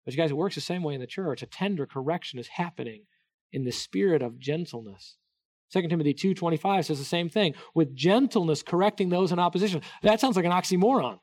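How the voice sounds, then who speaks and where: Man, middle-aged, pastor, sermon, slightly high voice, earnest, hushed to emphatic, many restarts. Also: fast talker. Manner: earnest, wide swings between soft hushed and raised emphatic delivery; many restarts and repeated words.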